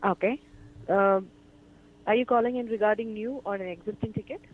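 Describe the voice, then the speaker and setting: A woman's voice: medium pitch, fairly even intonation, brisk. A woman working as a customer support agent on a phone call, polite and formal, repeating herself. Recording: tinny phone line.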